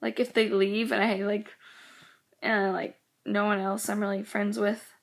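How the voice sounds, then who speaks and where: A woman's voice: mid-range voice, level tone, unhurried, creaky. A teenage girl chatting casually in a vlog, rambling with lots of "like" while getting over a cold that makes her voice go in and out. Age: teens. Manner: rambling, many "like"s, quotes others. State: sick, voice going in and out. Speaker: vlogger. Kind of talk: casual chat.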